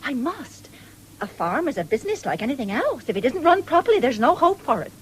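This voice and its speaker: A woman's voice: high-pitched, big pitch swings, a little fast. An actress playing a proud, firm, plain-spoken English farm wife and mother in a radio drama. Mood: earnest. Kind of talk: 1970s British radio drama acting. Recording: noisy old radio broadcast recording.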